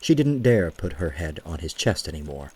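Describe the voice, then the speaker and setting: A man narrating a scripted story for an audio drama, with a husky voice and a measured, gentle storytelling manner. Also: low voice, very animated.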